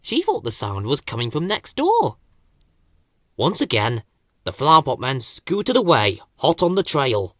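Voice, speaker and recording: A man narrating a children's story as an expressive audiobook storyteller. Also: medium pitch, measured pace, no echo, studio quality.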